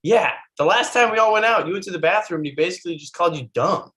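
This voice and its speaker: Blunt, aggrieved male friend in his twenties played by an actor, highish pitch, animated, comedy read. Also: fast talker. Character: blunt, aggrieved twenty-six-year-old buddy. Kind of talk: comedy script table read.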